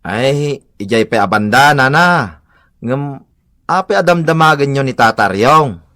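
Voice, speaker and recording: A man's voice: medium pitch, expressive, a little slow, sharp tone. A man acting a joking, nosy young man in a comedic radio drama, with an Ilocano accent. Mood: puzzled, nosy.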